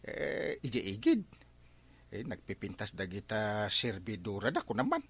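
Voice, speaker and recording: A man's voice: fairly low pitch, wildly swooping pitch, measured pace, fairly bright tone, breathy edge, full character voice. A man with an Ilocano accent acting a mischievous, blustering village captain in a comedy drama, full of exclamations. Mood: jolly, flirtatious. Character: village captain, mischievous pleasure-seeking comic husband.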